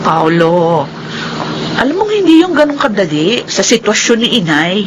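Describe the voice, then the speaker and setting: An actress playing a soft-spoken, hesitant grown woman in a Filipino radio drama. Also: medium pitch, sing-song, moderate pace, background noise, close to an everyday voice. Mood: reassured, serious.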